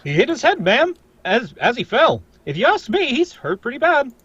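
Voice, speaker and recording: A respectful working-class London fellow in a radio play, put on by a woman. The voice is husky, high and folksy, in dull, muffled audio. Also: big pitch swings, normal speed, fairly bright tone, no room sound, full character voice. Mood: concerned. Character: deferential working-class London bystander man. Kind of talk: old-time radio drama script read live.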